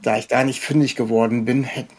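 Husky middle-aged man, hesitant interviewer. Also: medium pitch, steady tone, a little fast. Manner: hesitant, rambling. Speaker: interviewer, show host. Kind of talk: casual, unscripted interview chat.

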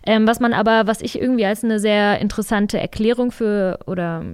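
A woman's voice: mid-range voice, steady tone, fairly quick, clear, resonant tone, dry recording, clean studio sound. A woman co-hosting a podcast, talking conversationally and searching for her words. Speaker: podcast co-host.

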